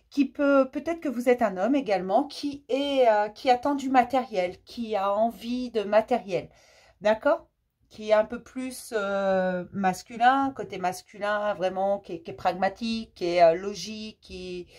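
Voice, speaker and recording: A French woman reads tarot cards for her viewers in a gentle, hesitant, affectionate way, often restarting her sentences. Her voice sits fairly high and sounds husky, thickened by a cold. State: has a cold. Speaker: tarot card reader. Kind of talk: casual card reading for viewers.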